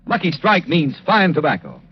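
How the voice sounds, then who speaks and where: A man delivering a formal, emphatic sales pitch in 1940s radio advertising style, with a husky voice. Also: huge pitch swings, moderate pace. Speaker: commercial announcer. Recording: dull, lo-fi 1940s radio recording.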